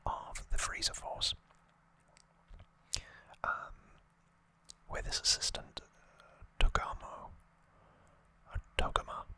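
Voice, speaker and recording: An ASMR host speaks entirely in a bright, crisp-edged whisper. The delivery is very unhurried and unscripted, with a hesitant, conversational way of retelling things. The voice sits close to the microphone. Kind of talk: whispered ASMR trivia recap.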